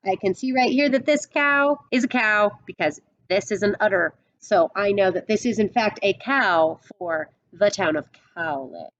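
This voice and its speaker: A middle-aged woman reading a picture book aloud expressively, with dramatic pauses and explanatory asides. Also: mid-range voice, a bit bright, lo-fi sound.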